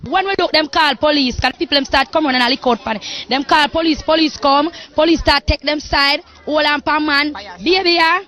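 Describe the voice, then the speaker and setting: Woman, street interview, excited, high bright voice. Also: brisk.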